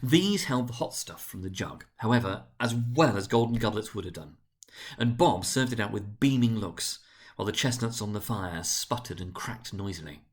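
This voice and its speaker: A man narrating a story as a dramatic reading, theatrical and expressive. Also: lowish voice, normal speed.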